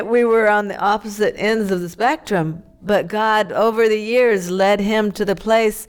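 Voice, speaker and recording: A woman's voice: medium pitch, clear, resonant tone. A woman who grew up in Tanzania, Ethiopia and Pakistan, telling her personal story earnestly and reflectively as a missionary guest in an interview.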